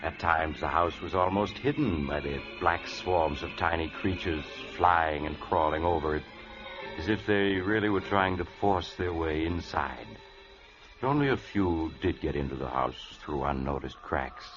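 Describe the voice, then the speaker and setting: Ominous storyteller, extremely low voice, measured suspense narration, music behind, muffled old radio recording. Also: male voice. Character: ominous male storyteller of macabre tales.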